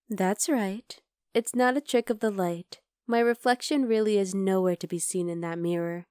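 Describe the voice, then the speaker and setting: A woman's voice: mid-range voice, no echo, clean studio sound, natural voice. A woman playing an obsessive, chatty vampire lab worker in an ASMR roleplay, coaxing and teasing the listener. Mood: smug, playful. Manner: conversational, teasing, coaxing, with soft asides.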